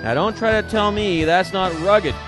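A man's voice: sits high, moderate pace. Jokey male fishing show narrator. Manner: jokey, hyped, keeps calling things rugged. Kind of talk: voice-over narration. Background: guitar music.

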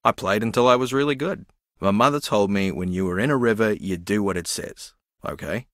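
A man's voice: on the low side, a little fast, a bit bright, dry recording, studio quality, lightly acted. An actor playing a dreamy, easily confused young man in a video game, earnest, naive and meandering as he muses.

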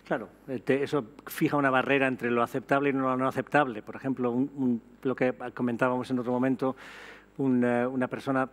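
A middle-aged man with a medium-pitched voice moderates a panel on an auditorium stage. He talks conversationally, tossing in examples and brief agreements. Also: flat delivery, brisk. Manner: conversational, interjects with brief assent. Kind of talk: panel discussion. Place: auditorium stage before an audience.

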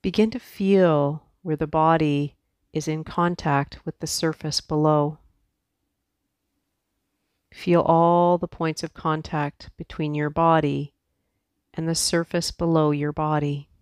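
A woman teaching yoga guides a meditation softly and soothingly, leaving long pauses between her instructions. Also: low voice, fairly even intonation, clear, resonant tone, no room sound, studio quality.

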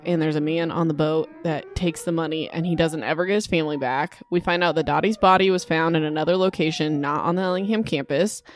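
A woman's voice: sits low, dry recording, clean studio sound. A woman hosting a casual solo book recap, sounding a bit sick, informal and sarcastic with mild exasperation. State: sick with a cold-like illness. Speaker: podcast host.

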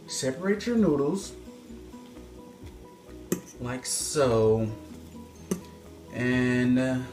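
Slow, playful man in an echoey kitchen. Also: mid-range voice, lively intonation, a little mellow, faint music behind. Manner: playful mock-expert, talks to viewers; moving around the stove while cooking. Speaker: home cooking vlogger. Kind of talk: mock-serious cooking show. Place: home kitchen, indoors. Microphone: phone camera on a stand, several feet away.

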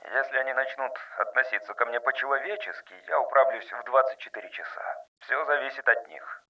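A man voicing a suspicious, defiant outcast worker in an audiobook, quiet, mocking and curt. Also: mid-range voice, a little fast, far from the mic, lo-fi sound, lightly acted.

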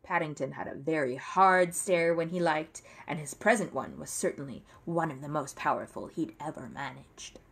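A woman's voice: sits low, normal speed, creaky, few pauses, breathy edge. A woman in her thirties reading a children's book aloud expressively, as a narrator at home. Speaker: story reader. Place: dim room at home.

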